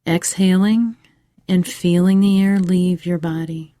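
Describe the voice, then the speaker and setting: A woman narrating a scripted guided meditation for children in a measured, soothing way with pauses. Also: lowish voice, fairly even intonation, mellow, no echo, studio quality.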